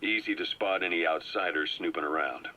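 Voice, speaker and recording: An actor playing a calm, well-informed agent who briefs a comrade in a measured, explanatory way. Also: male voice, deep voice. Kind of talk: video game cutscene voice acting. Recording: muffled cassette tape audio.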